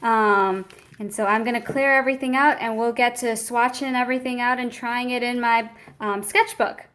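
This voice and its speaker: A woman's voice: on the high side, steady tone, normal speed, fairly bright tone. A young woman casually talking through an art supply unboxing, with frequent ums as she reads out product details.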